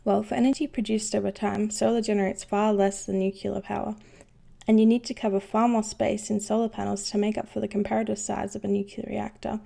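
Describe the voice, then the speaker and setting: A woman's voice: mid-range voice, level tone, a little fast, gravelly, warm tone. A woman playing a knowledgeable, unflappable ship's engineer who explains things patiently in an educational cartoon. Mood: matter-of-fact. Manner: patient, instructive. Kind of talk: scripted educational explanation in animation.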